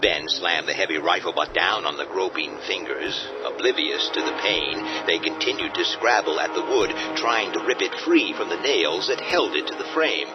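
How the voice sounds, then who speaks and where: A man narrating a horror radio drama in a grave, measured way. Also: sits low, expressive. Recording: dull audio over loud music.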